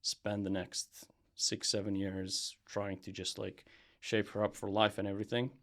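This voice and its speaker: A young man from Serbia talks casually as a podcast guest, rambling and restarting with plenty of fillers. His voice sits low with a gravelly edge, and his delivery stays flat. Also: unhurried. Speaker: podcast guest, brand founder. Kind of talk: casual interview chat.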